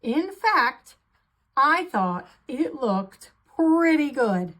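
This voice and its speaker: A woman voicing an ordinary, self-deprecating, fretful sheep in a children's storybook, with a wry, drawn-out delivery. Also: high-pitched, very animated, fairly bright tone, full character voice. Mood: anxious, wry.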